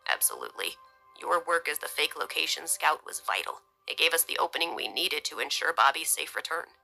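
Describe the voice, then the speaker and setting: A woman voicing an earnest, tender man, a husband and father, in an audiobook, speaking in a sober, hushed way. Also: low-pitched, expressive, brisk, vocal fry, soft background music, heavily acted. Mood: grateful.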